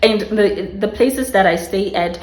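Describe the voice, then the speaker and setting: A woman's voice: mid-range voice, measured pace, darkish tone, roomy sound, low-quality recording. A woman from South Africa with a South African accent, casually explaining tips to her viewers at home, often restarting her phrases. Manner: chatty, restarts phrases, addresses viewers directly. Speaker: vlogger giving career tips. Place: home room by a street.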